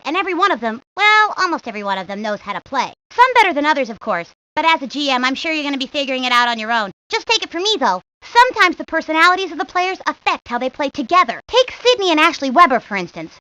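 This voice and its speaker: Chatty sporty young girl, confident know-it-all, high and bright, cartoon game monologue, dry lo-fi audio. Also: big pitch swings, fast talker, hardly any pauses, dry recording, exaggerated voice. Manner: chatty, rambling, matter-of-fact, confident. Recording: dull lo-fi 1990s game audio.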